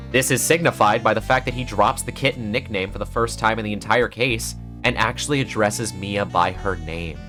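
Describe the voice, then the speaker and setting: A man delivering scripted, analytical video essay narration in an earnest manner with dramatic emphasis. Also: lowish voice.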